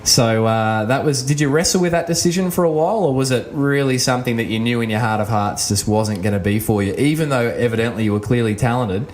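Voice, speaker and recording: A man's voice: medium pitch, fast, few pauses, darkish tone, slight background noise. A man hosting a podcast interview, asking long, rambling questions full of fillers. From Australia. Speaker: podcast host.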